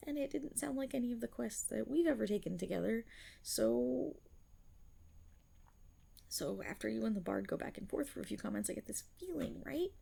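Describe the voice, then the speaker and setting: Woman voicing a timid, creaky-voiced half-dragon girl. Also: mid-range voice, warm tone, exaggerated voice. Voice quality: breathy, often slipping toward a whisper. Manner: hesitant, nervous, stammering and rambling. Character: timid young female half-dragon rogue, anxious and proud. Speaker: roleplay voice performer. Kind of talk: intimate ASMR roleplay, character acting.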